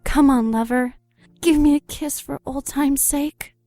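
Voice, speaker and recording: A woman's voice: sits high, fairly even intonation, unhurried, warm tone, dry recording, faint music behind. A woman playing a hungry vampire wife in an audio drama, coaxing and mocking her husband. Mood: seductive, taunting. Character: seductive, taunting vampire wife, hungry and pleading.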